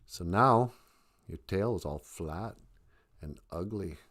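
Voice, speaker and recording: A man voicing a wise, stern male great spirit who lectures in measured tones. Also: deep voice, animated, a bit bright, heavily acted. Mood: scolding.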